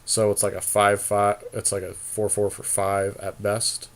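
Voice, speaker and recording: A man's voice: fairly low pitch, completely flat delivery, measured pace. A man co-hosting a podcast, chatting casually and thinking aloud as he plays a card game, with some offhand swearing. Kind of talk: casual chat while drafting a card game.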